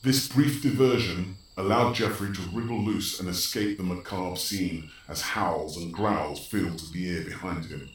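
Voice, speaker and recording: An actor playing a grave storyteller, delivering scripted dramatic narration for a horror audio drama. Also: male voice, deep voice, unhurried, hardly any pauses, slight background noise. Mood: grave, suspenseful. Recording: very echoey, distant, music behind.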